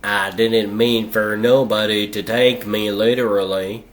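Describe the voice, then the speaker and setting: A man's voice: lowish voice, flat delivery, a little slow, very noisy, close to an everyday voice. A man voicing a folksy turtle superhero in a comic dub. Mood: folksy, grumbling. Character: folksy male turtle superhero with drawling country talk. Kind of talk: comic book dub acting.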